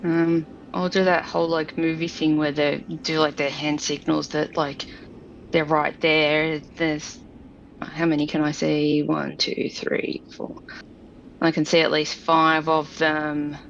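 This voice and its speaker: A woman with a low voice and plenty of vocal fry chats casually as a tabletop game player. The sound is dull, with faint noise. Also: flat delivery, takes it slowly.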